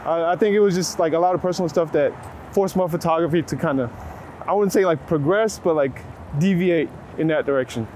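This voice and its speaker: Higher-pitched American man, casual and reflective. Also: fairly quick, darkish tone. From Waco, Texas, United States. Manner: reflective, informal, hedging with frequent "like". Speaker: photographer being interviewed. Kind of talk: casual conversational interview. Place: outdoors on a city street. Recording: clear street and traffic noise. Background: urban ambience, passing vehicles.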